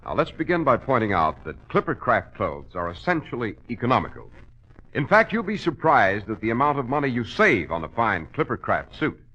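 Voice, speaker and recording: A male commercial announcer from old-time radio. He pitches emphatically with very dramatic intonation and a sharp, rough-edged voice. The recording sounds muffled and dated. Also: sing-song, fairly quick. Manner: emphatic, polished salesmanship. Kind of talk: 1940s radio advertising pitch. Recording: dull vintage radio recording.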